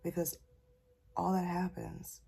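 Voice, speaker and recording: A woman sharing a casual spiritual message, talking softly because she is a little sick, in a husky, near-whispered voice and a folksy manner. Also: lowish voice, monotone, slow. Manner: folksy, calls listeners y'all and child. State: slightly sick, keeping voice soft. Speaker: spiritual channeler.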